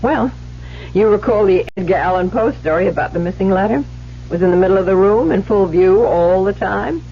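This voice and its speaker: An actress playing an imperious, easily flustered widowed writer in an old-time radio drama. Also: female voice, mid-range voice, fairly quick, slight background noise, lightly acted. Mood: dismissive. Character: haughty, rattled widow who writes detective stories. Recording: dull, humming old radio broadcast.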